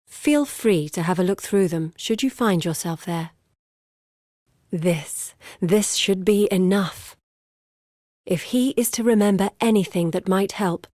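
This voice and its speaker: A woman playing an earnest, scholarly young daughter in a video game, speaking in a measured, formal, articulate way. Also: mid-range voice, a little mellow, no echo, studio quality, lightly acted. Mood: solemn, wistful. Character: earnest scholarly young woman, determined daughter seeking her lost father. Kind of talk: scripted video game character dialogue.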